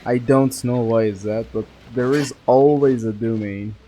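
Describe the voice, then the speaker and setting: A man's voice: mid-range voice, fairly even intonation, a little slow, mellow, a little hiss or hum, poor audio. A man giving casual commentary while playing a video game. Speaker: gamer.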